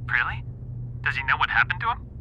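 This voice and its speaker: Casting: male actor as earnest scholar for audio drama, very sharp forceful tone, satellite phone call with faint noise. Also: medium pitch, big pitch swings, quick pace. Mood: brisk, interested. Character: eager male professor, earnest scholar warning of returning threat.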